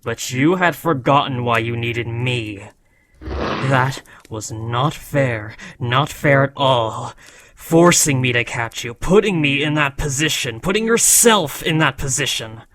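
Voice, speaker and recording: A man's voice: medium pitch, lively intonation, slow, a bit bright, slight background noise. A man voicing an ancient, proud dragon who scolds a beloved rider in a solemn, formal, dramatic fantasy roleplay. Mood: stern reproach.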